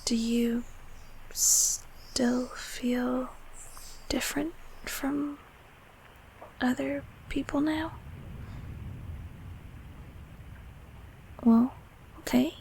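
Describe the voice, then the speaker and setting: A woman voicing a gentle, protective childhood closet monster in a comfort audio roleplay, speaking haltingly in a breathy, raspy whisper. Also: high-pitched, steady tone, slight background noise, exaggerated voice, poor audio. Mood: tender, patient. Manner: halting, soothing, softly spoken.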